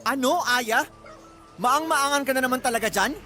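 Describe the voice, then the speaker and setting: An actor playing a jealous, abusive young boyfriend in a radio drama, with an accusing, sarcastic and menacing manner. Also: male voice, extremely high pitch, moderate pace, pushed and bright, faint music behind. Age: young adult.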